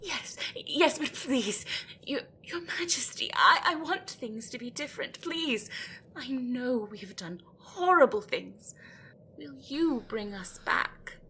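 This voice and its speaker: A desperate gryphon acted by a woman speaks in a fairly high voice. She is frightened and deferential, pleading slowly and haltingly as she stumbles over words in a fantasy audio roleplay. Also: lively intonation, bright, forceful tone, a little hiss or hum, heavily acted. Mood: meek relief. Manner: halting, pleading, breathy stammering.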